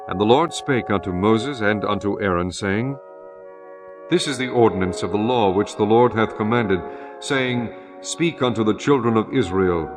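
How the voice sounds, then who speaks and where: A man narrating an audio Bible in a solemn, formal, measured scripted style. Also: lowish voice.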